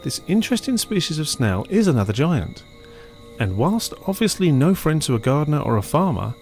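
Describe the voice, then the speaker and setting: Lively-voiced male documentary narrator. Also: medium pitch, creaky, husky. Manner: measured, informative delivery.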